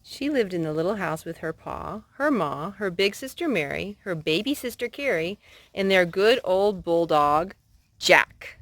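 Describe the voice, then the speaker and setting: A woman's voice: fairly low pitch, animated, a little slow, fairly bright tone, no echo. A woman reading stories aloud for children, now and then correcting a slip as she goes. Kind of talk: read-aloud children's storytelling.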